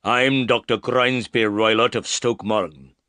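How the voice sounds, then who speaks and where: A man's voice: medium pitch, steady tone, measured pace, bright, forceful tone, clean tone, dry recording. A man doing a huge, cruel brute. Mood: menacing. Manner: rude and menacing.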